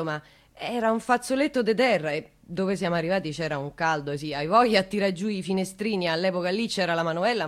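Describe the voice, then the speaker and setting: A woman's voice: mid-range voice, natural voice. An actress playing a flustered, chatty woman witness in a radio drama, rambling and interrupting herself defensively. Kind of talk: naturalistic radio drama monologue.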